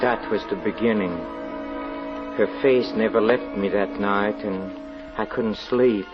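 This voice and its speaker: A man acting a deep, haunted carnival fortune teller. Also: fairly even intonation, lightly acted. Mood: tender reminiscence. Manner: halting, brooding, repeats words with dramatic hesitation. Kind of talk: old-time radio drama acting, confessional narration. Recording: dull vintage radio recording, music underneath.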